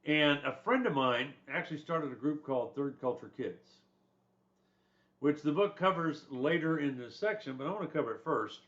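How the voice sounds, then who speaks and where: A man's voice: a little high, lively intonation, moderate pace, a bit bright. An older man, a retired soldier, lecturing casually on a textbook chapter in a rambling, conversational way with restarts and asides. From born in Germany, raised in the United States. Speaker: instructor. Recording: slightly dull lo-fi recording.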